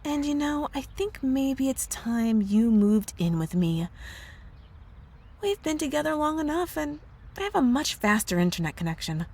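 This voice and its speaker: A woman playing a possessive young witch girlfriend in an ASMR roleplay, cooing sweetly with drawn-out words and a menacing undertone. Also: high voice, very animated, a little mellow, breathy edge, full character voice. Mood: smug, controlling. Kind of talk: ASMR roleplay audio drama.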